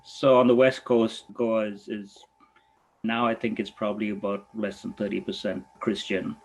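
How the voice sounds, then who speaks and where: A man's voice: on the low side, little pitch movement, creaky, slight background noise, poor audio. Sixty-something man from Goa. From Goa, India. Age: 60s. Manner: thoughtful, halting, laughs easily. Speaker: veterinarian guest. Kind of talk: reflective conversation.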